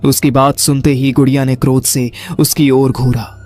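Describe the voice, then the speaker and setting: Casting: male horror storyteller, mid-pitched, dramatic and deliberate, light music bed. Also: little pitch movement, darkish tone. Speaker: narrator. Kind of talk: scripted horror story narration.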